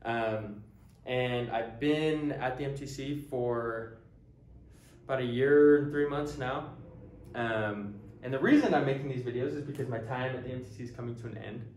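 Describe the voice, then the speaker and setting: A man's voice: medium pitch, normal speed, darkish tone, a little hiss or hum. Earnest young man in an echoey room. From United States. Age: early 20s. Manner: earnest, frequent ums and restarts. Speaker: language teacher. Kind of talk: casual talking-head introduction.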